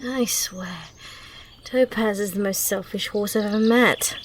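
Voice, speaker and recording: A woman's voice: medium pitch, measured pace, slight background noise, close to an everyday voice. A woman playing a teasing, grudgingly fond thief with a dry, mock-impatient manner in an intimate ASMR roleplay. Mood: exasperated, wry.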